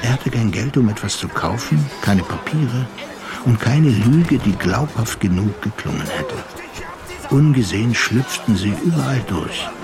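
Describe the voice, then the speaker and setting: A man's voice: mid-range voice, expressive, a little slow. A man narrating a radio play as a dramatic literary storyteller. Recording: loud music bed under the voice. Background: loud music.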